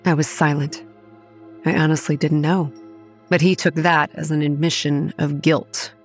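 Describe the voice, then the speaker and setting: A proud young manager who narrates a horror audio drama. Her voice sits low, with soft music beneath it. She is stern and measured, with a combative edge. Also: level tone. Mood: tense narration. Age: young adult. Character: proud, combative young woman running a haunted campground. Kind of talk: first-person dramatic narration with dialogue.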